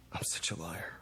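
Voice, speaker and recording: An actor playing a bitter, haunted young Japanese-American man in a 1960s television drama, speaking haltingly and intensely. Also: sharp tone, natural voice. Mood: tormented confession. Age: young adult. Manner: halting, intense, with repeated words.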